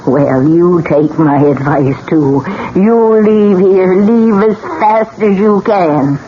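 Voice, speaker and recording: A grim older widow in a 1970s radio mystery. She speaks slowly and solemnly, as if every line were a warning. The recording is dull, with faint noise. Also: on the low side. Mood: ominous, insistent. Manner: slow, solemn, ominous delivery. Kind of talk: 1970s radio drama acting. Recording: muffled, noisy 1970s radio drama recording.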